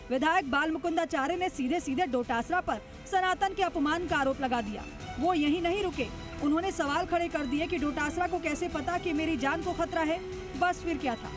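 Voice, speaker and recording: A woman narrates a scripted news report in a very high voice. Her delivery is dramatic and emphatic. Clear background music runs under her words.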